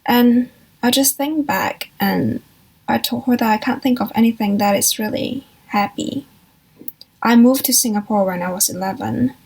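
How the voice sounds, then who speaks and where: Soft, hesitant young woman. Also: a little high, vocal fry, slightly rough, low-quality recording. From Hong Kong. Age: young adult. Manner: soft, hesitant, reflective, with frequent pauses and restarts. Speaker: vlogger. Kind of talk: personal confessional vlog.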